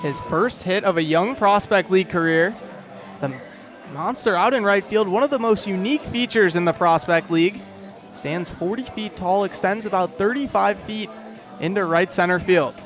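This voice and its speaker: A man's voice: high-pitched, moderate pace, fairly bright tone, faint music behind, a faint crowd. A young man doing live radio baseball play-by-play, with vivid descriptive calls that rise with the action. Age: young adult. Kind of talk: live radio sports commentary. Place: outdoors at a ballpark.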